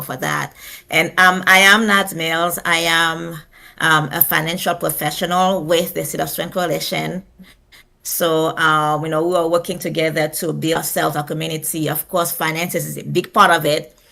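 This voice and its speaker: A woman talks as a podcast guest in a low, gravelly voice. She is earnest and proud, and she often pauses on fillers and restarts her thoughts. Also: sharp tone. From Haiti. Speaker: guest, financial professional. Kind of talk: conversational podcast interview.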